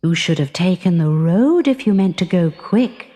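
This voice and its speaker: A woman voicing a gracious female green spirit of the meadows, measured and gently firm. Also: on the low side, expressive, in a large hall.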